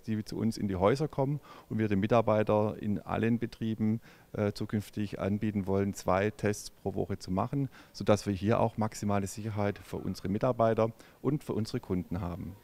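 A middle-aged man, a company executive answering questions in a halting way with many fillers, recorded on a handheld mic in a large showroom. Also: lowish voice, gravelly, dark tone. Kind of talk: on-camera interview. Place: large car showroom indoors. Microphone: handheld microphone.

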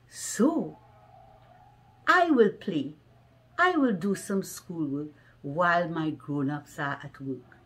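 A middle-aged woman reading a children's story aloud in a deliberate, expressive way, slightly muffled by a face mask. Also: fairly low pitch. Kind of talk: children's picture book read-aloud. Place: small living room at home, indoors.

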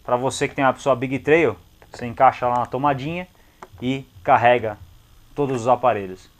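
A man's voice: mid-range voice, fairly even intonation, moderate pace. A young adult man with a Brazilian accent, giving a casual, colloquial, step-by-step product demonstration and checking in with short tags. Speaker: product reviewer. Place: motorcycle gear shop, indoors.

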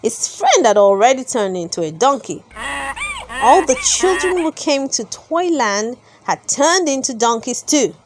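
A woman's voice: high-pitched, sing-song, unhurried, background noise. A woman reading a children's storybook aloud as narrator, expressively and with dramatic emphasis.

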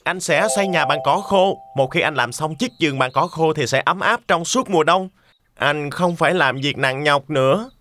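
Lazy young cartoon pig, carefree, bright forward tone, slightly high pitch. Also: male voice, normal speed. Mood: pleased. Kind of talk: children's cartoon dub.

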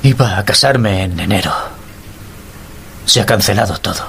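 A man's voice: on the low side, moderate pace, vocal fry, a bit bright, slight background noise. A desperate, pleading young workman. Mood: despairing. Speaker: voice actor. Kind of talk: radio drama acting.

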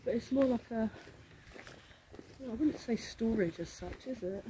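A woman's voice: on the high side, unhurried, rough recording. A woman with an English accent chatting casually while exploring an abandoned farm outdoors, recorded on a phone. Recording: loud outdoor background noise.

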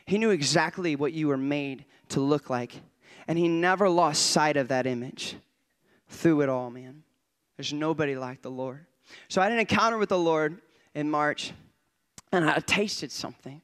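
A young man, a guest preacher, giving an excitable testimony in church on a handheld mic, often laughing and swinging between quiet asides and loud bursts. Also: a little high, clear, resonant tone. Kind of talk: testimony sermon. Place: church stage before a congregation. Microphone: handheld microphone.

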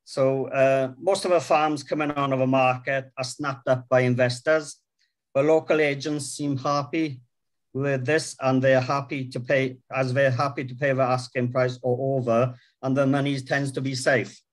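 A plain-spoken middle-aged man with a Welsh accent talks earnestly from his notes, his voice sitting at an ordinary pitch. He speaks from a room at home, picked up by a webcam on a video call, pausing on ums as he goes. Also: level tone. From Wales. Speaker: farmer giving a talk. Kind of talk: prepared talk read from notes.

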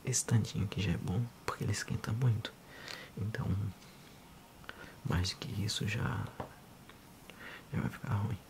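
A man in his thirties with a Brazilian accent, speaking softly and unhurriedly in an ASMR role-play as a physiotherapist addressing the listener. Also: lowish voice, steady tone. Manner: soft, unhurried, hushed.

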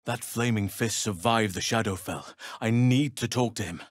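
An actor playing a burly middle-aged male elf druid in a fantasy game, earnest and gentle, with measured, formal phrasing. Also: lowish voice, no room sound, studio quality, lightly acted. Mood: grave, gentle. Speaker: video game character actor.

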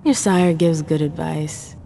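A woman's voice: deep voice, fairly even intonation, unhurried, creaky, dark tone, a little hiss or hum. A woman playing a cool, world-weary vampire club owner in a video game, sardonic and self-assured.

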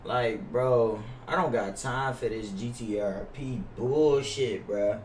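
A man's voice: mid-range voice, takes it slowly, darkish tone. A young American man livestreaming a game, chatting casually in slang with plenty of swearing and repeated phrases. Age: young adult. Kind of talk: casual livestream chat with viewers. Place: small indoor room at a gaming setup. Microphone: gaming headset. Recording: roomy, distant mic, faint noise, keyboard clicks.

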